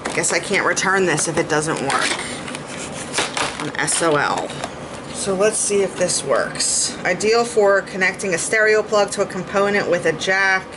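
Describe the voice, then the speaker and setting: A woman in her thirties with a low voice talks to her camera mic at close range in a small home room. She thinks aloud in a chatty, easygoing way, and steady background noise sits under her voice. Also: unhurried. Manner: chatty, thinking aloud while sorting gear. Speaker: beauty and music vlogger. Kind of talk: casual vlog chat. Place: small home kitchen, then a home office. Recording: audible background noise, rain and thunder outside.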